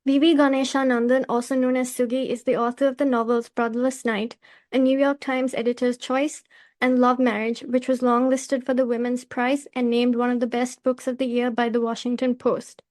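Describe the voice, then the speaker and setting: High-pitched, even-toned woman podcast host. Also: moderate pace. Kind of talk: scripted podcast introduction and questions.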